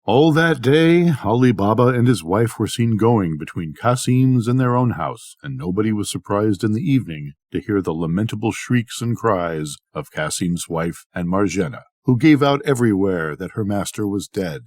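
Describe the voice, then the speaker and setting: A man's voice: on the low side, animated, moderate pace, few pauses, a little mellow, no room sound, studio quality. An older man reading a bedtime story aloud as a storyteller.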